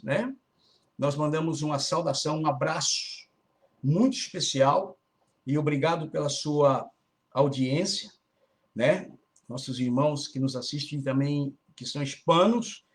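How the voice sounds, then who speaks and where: A man hosting a church radio broadcast, greeting listeners and making announcements in a casual, chatty way, often tagging phrases with a questioning "né?". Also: a little high. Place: Brazilian church community in Florida.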